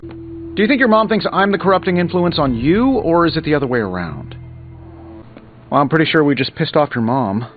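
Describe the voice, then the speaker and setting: Guy doing a cocky wisecracking genius superhero, game dialogue, slightly high voice, muffled with music behind. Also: lively intonation, brisk, lightly acted. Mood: breezy, teasing. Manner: sarcastic, glib, wry. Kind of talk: video game dialogue, quippy banter.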